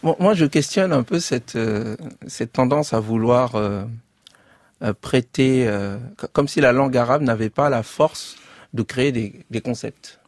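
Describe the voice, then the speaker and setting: A man of middle pitch speaks as an interview guest, working through his points slowly with frequent hesitations and restarted phrases. His voice is captured close up in a dry, dead studio with no room sound. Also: dry recording. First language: French. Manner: hesitant, many fillers and restarts. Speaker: author and guest. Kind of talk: measured interview talk.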